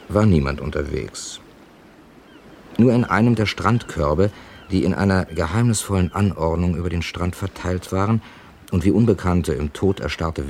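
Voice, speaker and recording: A man playing a reflective, earnest witness and narrator in a German radio crime play, with deliberate, literary phrasing. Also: sits low. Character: reflective male hotel guest, narrator and witness. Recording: slightly hissy old radio recording.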